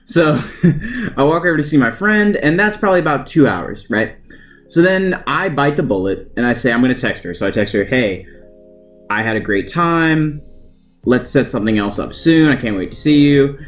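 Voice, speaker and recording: A young man talks in a casual storytime vlog style at a medium pitch. He is conversational and slangy, readily self-deprecating. Faint guitar music plays behind his voice. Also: animated, normal speed, a bit bright. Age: young adult. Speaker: vlogger.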